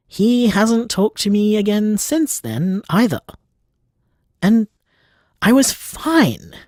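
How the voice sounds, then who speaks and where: A man's voice: sits high, dry recording, clean studio sound, exaggerated voice. An actor playing an upset, sweary man who is hurt and blames himself, in a naturalistic audio drama. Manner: emotional, sweary, self-reproaching.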